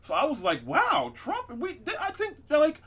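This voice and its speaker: Bright-voiced rambling podcast host, man. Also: extremely high pitch, wildly swooping pitch, unhurried. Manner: rambling, laughing, many fillers and restarts. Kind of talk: casual comedic chat.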